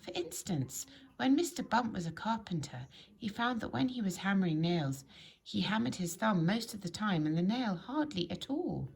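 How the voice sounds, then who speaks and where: A woman reads a children's story aloud in an expressive, emphatic storytelling manner. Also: mid-range voice, moderate pace, gravelly, husky. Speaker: storybook reader.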